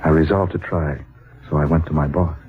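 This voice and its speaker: A man's voice: a bass voice, steady tone, brisk, over loud music. An actor playing an earnest younger male writer seeking truth, in dramatic 1940s radio style. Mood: reflective. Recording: muffled band-limited 1940s radio recording.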